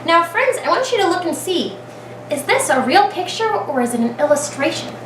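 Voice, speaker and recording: A woman with a high, very lively voice reads a story to small children in the manner of a kindergarten teacher. She paces it with dramatic pauses and thinks aloud for her listeners. The recording is roomy and echoing, captured at a distance from the mic. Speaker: kindergarten teacher reading a picture book aloud. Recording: echoey room, distant mic, clear background noise.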